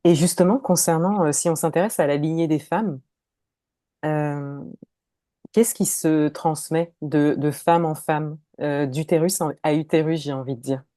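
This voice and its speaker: A woman podcast host who asks questions softly and hesitantly, with many fillers. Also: low-pitched, fairly even intonation, unhurried, no echo, studio quality. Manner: soft and hesitant, with many fillers while asking. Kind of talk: interviewer, casual conversation.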